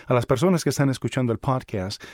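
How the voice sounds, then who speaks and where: A man's voice: mid-range voice, quick pace, darkish tone, no echo, studio quality. A man hosting a podcast, chatting casually and informally with familiar, joking ease. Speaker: podcast host. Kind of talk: casual conversational podcast talk and interviewing.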